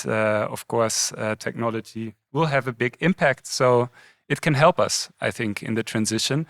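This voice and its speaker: A man's voice: medium pitch, fairly bright tone, no echo, studio quality. A man in his thirties from Germany with a German accent, a guest chatting in a friendly, thoughtful way on a podcast. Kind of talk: casual conversation.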